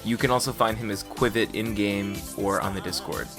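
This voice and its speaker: A man's voice: on the low side, brisk, over music. A man hosting a podcast in a casual, conversational style. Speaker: podcast host.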